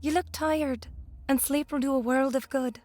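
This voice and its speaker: A woman's voice: high voice, fairly even intonation, moderate pace, very noisy, close to an everyday voice. A woman playing a smug, scheming stepmother in an audio drama, with a mocking, condescending and needling manner. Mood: falsely sweet, smug. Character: smug scheming stepmother, falsely sweet then openly cruel.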